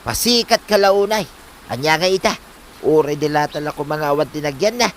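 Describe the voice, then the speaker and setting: A man's voice: a little high, animated, fairly quick, close to an everyday voice. A man acting a nagging father of a grown son in an Ilocano comedy drama, lecturing reproachfully with an Ilocano accent. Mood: scolding. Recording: faint background noise.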